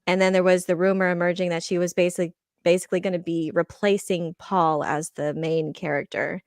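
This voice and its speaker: Female podcast panelist, slightly low pitch, halting explanatory delivery, casual, dry recording. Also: level tone, no room sound. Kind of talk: casual discussion.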